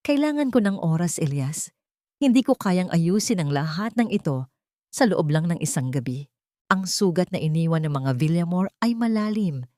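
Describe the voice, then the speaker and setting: A woman's voice: on the low side, expressive, normal speed, dark tone, no room sound, studio quality, close to an everyday voice. Woman acting a wounded, distrustful Filipino woman. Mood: sad, guarded. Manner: anguished, wary, switches between Tagalog and English. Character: wounded, distrustful young woman guarding her heart.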